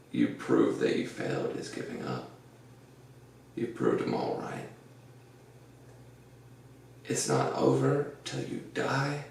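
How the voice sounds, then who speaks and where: A man hosting a casual podcast, with a deep, gravelly voice, rambling through an earnest pep talk full of fillers. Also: a little mellow, recorded from a distance. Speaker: podcast host. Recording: echoey room, off-mic, faint noise.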